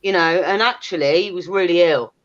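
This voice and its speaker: A woman's voice: on the low side, brisk. Blunt, sweary woman, muffled audio. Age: 30s. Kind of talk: candid casual chat.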